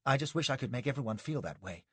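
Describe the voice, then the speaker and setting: A man voicing an earnest, zealous young frontier fighter who speaks in a solemn, fervent, low-voiced way. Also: lively intonation, fast talker, fairly bright tone, husky, dry recording. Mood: fervent confiding.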